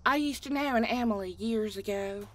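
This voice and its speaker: Woman playing reserved older neighbor, slightly high voice. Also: normal speed, close to an everyday voice. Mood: polite, curious. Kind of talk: amateur radio drama acting.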